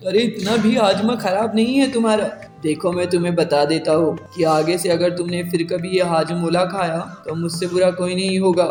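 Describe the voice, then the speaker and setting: A man voicing a young bird husband in a cartoon, earnest and emphatic, caring but quick to scold. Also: high voice, steady tone, darkish tone, lightly acted. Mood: angry. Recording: echoey reverb, faint music behind.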